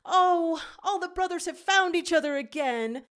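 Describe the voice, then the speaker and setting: A woman plays a male jackal pack leader from a fan fiction story, playful and doting. The voice swings widely in pitch, with a teasing big-brother affection. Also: expressive, normal speed, fairly bright tone. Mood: excited, relieved.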